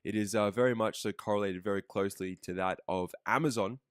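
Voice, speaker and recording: Male trading educator, sick with the flu, fast and fairly low-pitched, self-correcting as he talks. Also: smooth, dry recording, studio quality. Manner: fast, steady walkthrough with fillers and self-corrections. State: has the flu, losing his voice. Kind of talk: screen-recorded market analysis.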